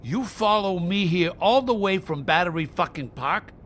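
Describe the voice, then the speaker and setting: A man's voice: sits high, lively intonation, moderate pace, fairly bright tone, slight background noise. An actor playing a brash, foul-mouthed male thug who sneers and taunts in a video game. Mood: taunting. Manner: taunting, swearing, jeering.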